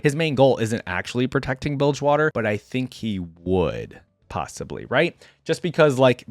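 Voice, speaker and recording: A man's voice: mid-range voice, no echo. Male video host: easygoing, casual reactions, loose rambling delivery, ordinary register, close dry recording. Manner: rambling, thinking aloud. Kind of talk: casual reaction commentary with some reading aloud.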